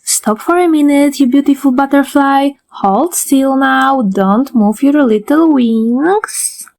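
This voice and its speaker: A woman plays a gushing, sweet girlish character in a comic dub. Her voice is high, with a coaxing tone. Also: slow, darkish tone. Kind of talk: fandub acting.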